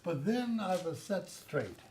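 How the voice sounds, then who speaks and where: A zealous corporate middle manager played by a man speaks in a high male voice. He is pompous and condescending, lecturing in grand phrases as in a satirical stage play. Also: sing-song, slow pace, darkish tone, heavily acted. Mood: condescending, sinister.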